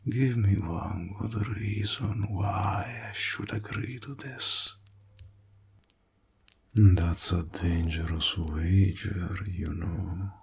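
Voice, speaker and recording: A man playing a sly evil warlock in a roleplay audio drama, teasing and deliberate, with a breathy, gravelly low voice. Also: fairly even intonation, a little hiss or hum, heavily acted. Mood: wary, menacing. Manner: slow, deliberate, drawling, insinuating. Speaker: voice actor. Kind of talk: roleplay audio drama, intimate villain monologue.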